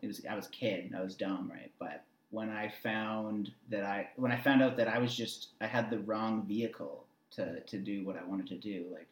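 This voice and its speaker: Man in his twenties, echoey room, casual storyteller, animated, repetitive for emphasis. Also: fairly low pitch, normal speed, few pauses, a little hiss or hum. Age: 20s. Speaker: interview guest.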